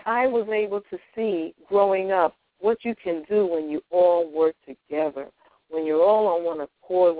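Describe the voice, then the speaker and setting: A woman's voice: fairly low pitch, dry recording. A woman from Honduras, a guest speaker telling her life story on a radio talk show. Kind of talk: radio interview. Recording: tinny phone-like radio audio.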